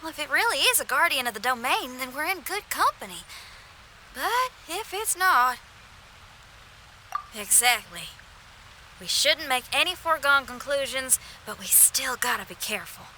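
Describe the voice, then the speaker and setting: A woman playing a confident, spirited young adventurer in a video game, with a casual, frank and expressive delivery. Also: high-pitched, normal speed, a little hiss or hum, exaggerated voice. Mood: wary.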